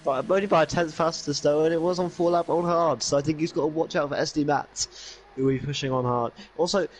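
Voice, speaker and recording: A man's voice: fairly high pitch, fairly even intonation, brisk, slight background noise. A young man commentating an esports racing league in casual chat, bantering and laughing often, on a headset from a home studio.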